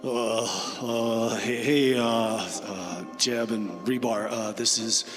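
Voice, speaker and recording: A man playing a hesitant, awkward billionaire in a comedy radio sketch, stammering and halting. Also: mid-range voice, steady tone. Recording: loud music under the voice.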